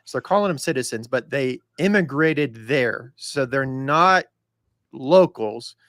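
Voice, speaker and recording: A man's voice: medium pitch, lively intonation, a little slow, fairly bright tone, no room sound, clean studio sound. A man from Texas co-hosting a podcast, giving blunt opinions in a casual tone. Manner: blunt, argumentative. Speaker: podcast co-host.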